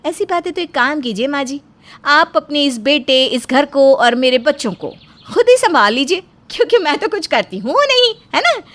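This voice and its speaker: A high female voice , a woman acting as a short-tempered young daughter-in-law in a cartoon family story. She scolds sarcastically, sounds wronged and readily threatens. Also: very animated, moderate pace, a bit bright. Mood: aggrieved, threatening.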